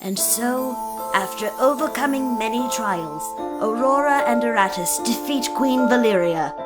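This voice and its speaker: A woman playing the solemn, measured narrator of a fantasy legend in a video game. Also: fairly high pitch, lively intonation, loud music behind the voice. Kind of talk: scripted narration.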